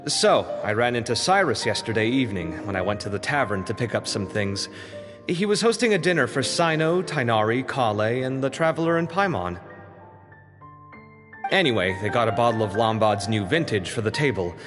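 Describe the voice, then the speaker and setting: A male actor plays a friendly, talkative young man in an anime game. His pitch is lively and animated, with a slightly fretful, expressive delivery. Music plays behind him and the sound carries a long reverb. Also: moderate pace, close to an everyday voice. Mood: chatty. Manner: expressive, conversational, easily startled. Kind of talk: anime video game voice acting.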